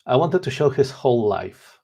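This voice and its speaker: Gravelly-voiced man in his forties. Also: mid-range voice, animated, normal speed, warm tone. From Poland. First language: Polish. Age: 40s. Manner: earnest, candid, self-deprecating. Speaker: author and interview guest. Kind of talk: casual interview chat.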